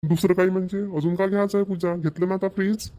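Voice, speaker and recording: A man voicing a doting young husband in a cartoon, gentle and indulgent toward his wife. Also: sits high, flat delivery, quick pace, natural voice. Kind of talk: cartoon voice acting.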